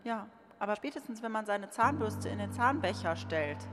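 A woman's voice: medium pitch, expressive, moderate pace, music in the background. A woman from a theatre team, talking casually in an online rehearsal from home. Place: home office on a conference call. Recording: noisy home conference-call audio, clicks.

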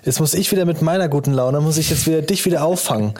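A man in his thirties co-hosting a podcast in casual banter, animated and joking, often throwing out questions. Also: fairly high pitch, fast talker. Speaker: podcast co-host.

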